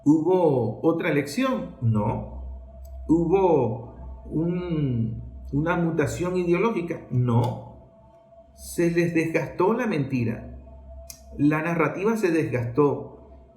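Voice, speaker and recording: A Venezuelan man speaks as a political commentator, emphatic and rhetorical. His voice sits a little higher than most men's. The recording is noticeably echoey and roomy. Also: lively intonation, slow pace, darkish tone. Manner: rhetorical, asks and answers own questions, emphatic. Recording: roomy, echoing sound.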